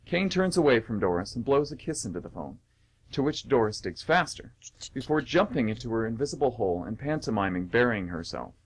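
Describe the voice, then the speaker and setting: A man's voice: medium pitch, big pitch swings, brisk, rough recording. A man narrating scripted stage directions for a radio play.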